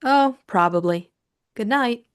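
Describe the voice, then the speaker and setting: A woman's voice: a little high, expressive, slow, clean tone, pitched above the performer's own voice. A woman voicing a plucky young upper-class amateur sleuth in an audiobook mystery, cool and matter-of-fact.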